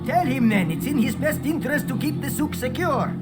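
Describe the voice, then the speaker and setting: High pitched gossipy market townsman, bit of a coward, video game, loud music. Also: expressive, fairly quick. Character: gossipy, cowardly male townsman of a medieval bazaar. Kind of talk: scripted video game acting.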